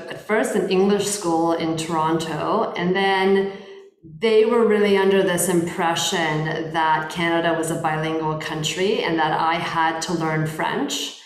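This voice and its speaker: Woman in her thirties, interview guest, lower voice, measured and thoughtful, echoey room. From Poland. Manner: thoughtful, measured, frequent restarts. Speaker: guest lawyer. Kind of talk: interview conversation. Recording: roomy echo.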